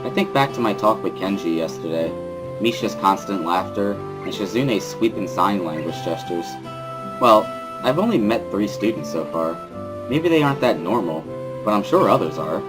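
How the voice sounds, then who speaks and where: A man narrates a first-person story in a low, lively voice. His delivery is introspective and measured, like a dramatic reading. Guitar music plays clearly behind him. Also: low-quality recording.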